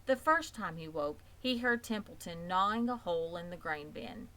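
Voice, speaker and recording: A woman's voice: on the low side, expressive, moderate pace, a bit bright. A woman reading scripted narration from a children's book as a storybook reader. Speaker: audiobook reader.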